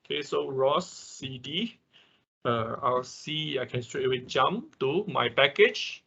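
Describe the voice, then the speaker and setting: A man with a husky voice teaching a live online programming class informally, often repeating words and checking in as he explains. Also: mid-range voice, animated, slow pace, sharp tone, dull audio. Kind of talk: informal live technical tutorial, explaining code.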